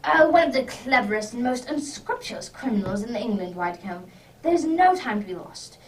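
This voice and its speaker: A woman plays a hearty gentleman detective in a radio drama, speaking high and with big swings of pitch. The manner is exclamatory and courteous, heard slightly off the mic with some faint noise. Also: animated, normal speed, distant mic, lightly acted, low-quality recording. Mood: keen, urgent.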